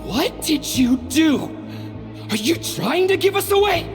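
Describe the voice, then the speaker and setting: A woman's voice: extremely high pitch, big pitch swings, unhurried, full character voice. A woman voicing a bossy, impatient bandit in a video game. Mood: irritated, urgent. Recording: long reverb, game music behind.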